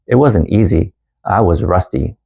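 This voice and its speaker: A man in his thirties who grew up in Canada, narrating a personal story as a language teacher in a calm, earnest way and mixing two languages. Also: sits low, a little slow, vocal fry, breathy edge. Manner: calm, earnest storytelling, switching between Vietnamese and English. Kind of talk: scripted lesson narration.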